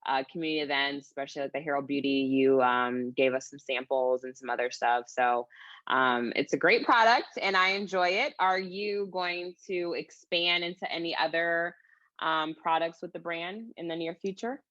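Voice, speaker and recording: A woman's voice: deep voice, moderate pace, a bit bright, no room sound, muffled recording. A woman hosting a casual interview, chatty and full of fillers. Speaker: interviewer host.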